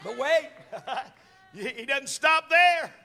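A man's voice: very high voice, animated, very slow, gravelly, sharp tone, a faint crowd, slight background noise. A man preaching a sermon, emphatic and calling on the congregation to answer back. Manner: emphatic, calls on the congregation to respond.

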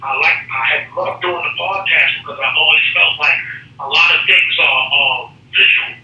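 A man's voice: on the high side, moderate pace, sharp tone, very echoey. A man calling in from prison over a phone line, talking in an emphatic, streetwise, rambling way. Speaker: podcast guest calling in. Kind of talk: casual phone conversation. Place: federal prison. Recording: muffled phone line from far off.

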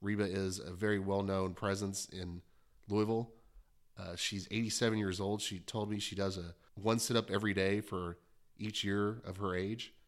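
A man's voice: deep voice, little pitch movement, normal speed. A man with a stuffy nose from a cold, hosting an interview in a friendly, chatty, self-deprecating way. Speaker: podcast host.

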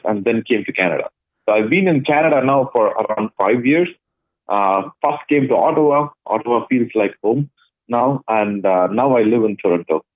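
A man's voice: on the low side, no echo. A man from Chennai, India, answering interview questions thoughtfully, with frequent hesitations. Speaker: guest, blockchain project lead. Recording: tinny phone line.

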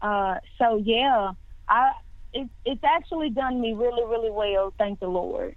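A woman's voice: on the high side, measured pace, no room sound. A woman in her twenties, a singer, answering interview questions in a casual way, often repeating words and restarting. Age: 20s. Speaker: singer guest. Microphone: phone line. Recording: tinny dry phone line.

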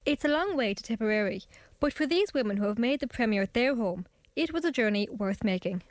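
A woman's voice: fairly high pitch, expressive, fairly quick, no room sound, clean studio sound. A young Irish woman talking thoughtfully about her documentary and narrating it. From Ireland. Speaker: guest and documentary maker. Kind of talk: interview chat and scripted narration.

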